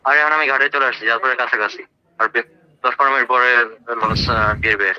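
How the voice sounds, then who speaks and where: Very fast, sharp-voiced Bangladeshi man on phone. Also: mid-range voice, fairly even intonation. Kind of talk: casual phone conversation. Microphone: phone call. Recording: dull, slightly noisy phone call.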